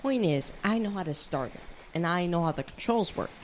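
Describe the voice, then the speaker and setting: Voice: mid-range voice, lively intonation, a little fast, close to an everyday voice, rough recording. A young performer playing a bold, scheming teenage boy who leads a gang of kids in a radio drama, persuasive and informal. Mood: confident. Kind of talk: youth radio drama acting. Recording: noticeable background noise.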